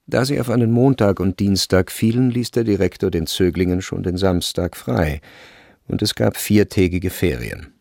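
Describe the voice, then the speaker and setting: A man narrating a literary radio drama from a script, measured and precise in his storytelling. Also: low voice, vocal fry, a little mellow, no echo, studio quality.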